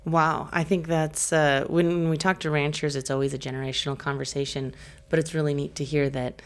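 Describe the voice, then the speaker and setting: A woman's voice: deep voice, flat delivery, brisk. A woman hosting an interview podcast, asking questions in a friendly, conversational way. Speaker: podcast host.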